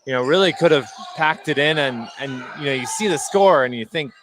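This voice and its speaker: A man giving conversational, somewhat halting analysis as a color commentator at a live basketball game. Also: a little high, expressive, noisy background. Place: courtside in an indoor high school gym.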